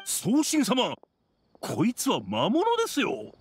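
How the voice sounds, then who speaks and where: A man's voice: high voice, huge pitch swings, slow pace, background noise, heavily acted. A man playing a dutiful city guard in a Japanese game dub, switching between brusque orders and formal deference. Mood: respectful, wary. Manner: brusque commands, respectful formal address. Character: stern, dutiful male city guard, deferential to his goddess.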